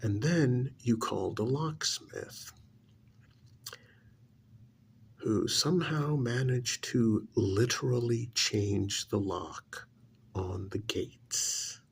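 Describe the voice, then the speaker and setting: A man performs a rich, condescending older uncle in an ASMR roleplay. His voice is extremely husky and crackles with heavy fry. He speaks very slowly, letting each sarcastic, irritated phrase hang. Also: medium pitch, exaggerated voice. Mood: stern disbelief. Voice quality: gravelly, husky, breathy. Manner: slow, condescending, drawn-out sarcasm with pauses.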